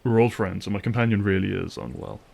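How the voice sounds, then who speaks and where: A man plays a guarded monster hunter in a fantasy roleplay. His voice is fairly low with a heavy creak. He speaks in a terse, dry manner. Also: fairly even intonation, quick pace, a little mellow. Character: wry, guarded male vampire hunter, gruff protective ally. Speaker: voice actor playing a vampire hunter. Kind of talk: fantasy audio roleplay acting.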